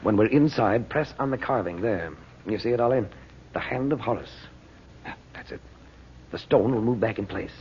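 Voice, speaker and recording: An actor playing a calm, determined, commanding adventurer uncle in a vintage radio drama. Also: male voice, medium pitch, normal speed, long pauses, a little hiss or hum, natural voice. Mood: urgent, commanding. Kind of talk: 1940s radio drama acting. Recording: muffled old radio recording.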